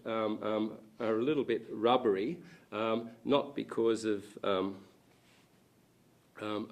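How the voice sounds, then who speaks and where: Hoarse man giving a talk. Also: on the low side, a little mellow. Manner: hesitant, frequent ums and restarts. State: hoarse, croaky voice. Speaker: panel speaker, academic. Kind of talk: public lecture talk. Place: university lecture room.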